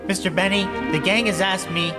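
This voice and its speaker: An actor playing a naive, earnest young tenor in 1950s radio comedy, with guileless innocent delivery. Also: male voice, sits high, fairly even intonation, fairly quick, over music, close to an everyday voice.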